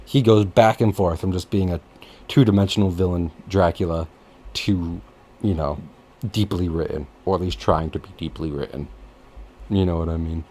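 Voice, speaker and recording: A man hosting a casual podcast chat, rambling and jokey, with easy swearing and an animated delivery. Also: deep voice, moderate pace. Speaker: podcast host.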